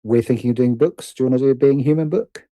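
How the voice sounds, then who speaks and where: A man's voice: mid-range voice, quick pace, warm tone, no room sound. A middle-aged man chatting as an interview guest, hesitant and often restarting his sentences. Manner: hesitant, self-correcting with repeated false starts. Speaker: podcast guest, writer and producer. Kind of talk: casual interview conversation.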